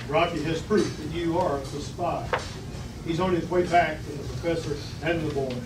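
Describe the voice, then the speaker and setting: A man reading the part of a commanding, scheming male villain in a firm, deliberate way. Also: on the high side, steady tone, lightly acted. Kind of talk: table read of a sci-fi script. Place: restaurant dining room table. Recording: distant, echoey, noisy restaurant.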